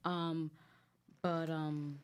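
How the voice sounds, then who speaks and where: Guest spot: candid, chatty woman, Guyanese accent, deep for a woman. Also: little pitch movement, very slow, smooth. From United States, Guyanese family. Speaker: guest entrepreneur. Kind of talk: casual chat over cocktails.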